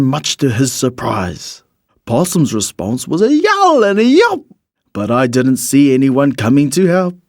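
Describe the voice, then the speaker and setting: A man reads a rhyming children's storybook in an expressive, measured storytelling manner. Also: medium pitch, no echo, clean studio sound. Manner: expressive, measured storytelling in the character of a bird narrator. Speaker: storybook reader.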